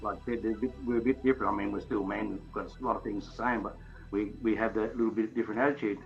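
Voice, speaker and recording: A man's voice: on the low side, steady tone, quick pace, gravelly, lo-fi sound, soft background music. A man with a broad Australian accent talking casually in a live online debate, laughing often. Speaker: debate guest. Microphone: desktop microphone.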